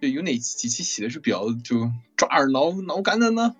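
A woman with a very low, husky voice hosts a casual interview. She is teasing, swings widely in pitch and often repeats words while searching for the next one. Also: big pitch swings, a little fast, soft background music. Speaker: interview host.

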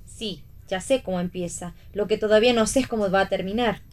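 Radio play old flame, played by a woman: Argentine, bold, teasing, medium-pitched voice. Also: brisk, close to an everyday voice. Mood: wary, teasing. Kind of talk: radio theatre reading.

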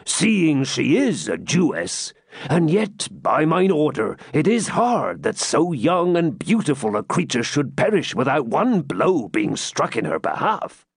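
A man's voice: a little high, wildly swooping pitch, a little slow, breathy edge, dry recording, pitched above the performer's own voice, full character voice. A man voicing a jovial, blunt male friar, speaking in hearty archaic speech.

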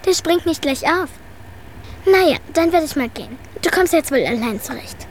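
A woman's voice: sits high, lively intonation, normal speed, a little hiss or hum. An actress playing a snooty, bossy know-it-all little girl in a German radio drama, prim and condescending. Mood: dismissive.

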